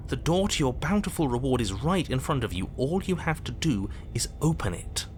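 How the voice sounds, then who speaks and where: A man's voice: mid-range voice, very animated, normal speed, darkish tone, slight background noise, lightly acted. An actor playing an exasperated, controlling narrator of a horror visual novel, theatrical and precise in his storytelling. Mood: grand narration. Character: exasperated, controlling male narrator, dramatic and evasive. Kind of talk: theatrical second-person game narration.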